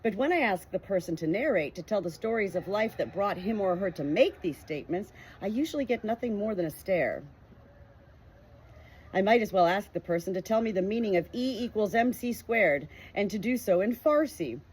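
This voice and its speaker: A woman's voice: medium pitch, animated, moderate pace, darkish tone, husky, low-quality recording. A woman reading a book aloud in an earnest, emphatic way, now and then breaking off into chatty personal asides. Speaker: audiobook reader.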